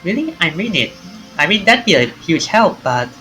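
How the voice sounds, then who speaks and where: Guy doing a chatty excitable girl from a visual novel, pitched up, playful, swoopy. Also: normal speed, soft background music, heavily acted. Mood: flustered, surprised. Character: quirky, excitable young woman hunting occult legends.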